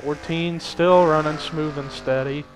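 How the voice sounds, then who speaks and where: A young man with a somewhat high voice runs casual play-by-play on a simulated race. He is chatty and builds excitement toward the finish. The recording carries a faint hiss of noise. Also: measured pace, poor audio. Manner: chatty, lifts energy at race calls. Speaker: race commentator.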